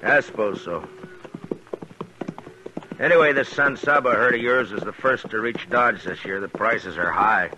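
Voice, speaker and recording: An American actor playing a steady, terse US Marshal in a 1950s western radio drama, speaking with measured authority. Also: male voice, lowish voice, dull audio, noisy background, natural voice. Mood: easygoing. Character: grim, steady male US Marshal of the old West. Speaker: lead actor and first-person narrator. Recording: band-limited old radio recording, music behind.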